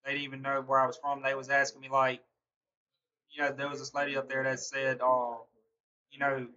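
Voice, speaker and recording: Southern man, live streamer, bright voice, laughs a lot between chat replies. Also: medium pitch, completely flat delivery, brisk, lo-fi sound. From Mississippi. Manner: laughs often, answers chat by name. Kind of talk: casual chat reading viewer comments.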